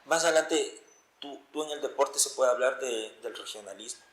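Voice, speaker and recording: A man's voice: medium pitch, normal speed, low-quality recording. An Ecuadorian man hosting a casual interview, feeling for his words and repeating phrases for emphasis. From Ecuador, born in Quito. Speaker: podcast host, interviewer.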